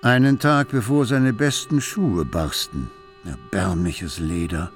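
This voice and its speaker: A man narrating a literary radio play in a measured, dramatic storytelling manner. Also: lowish voice. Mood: grave narration. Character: literary narrator telling of a poor dreaming artist. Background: music.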